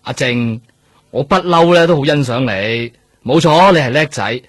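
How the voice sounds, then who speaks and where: A man's voice: medium pitch, animated, moderate pace, no echo, lightly acted. A man with a Hong Kong Cantonese accent playing a blunt, exasperated police superior in a radio drama. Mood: weary, resigned. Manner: colloquial, sighing, scolding.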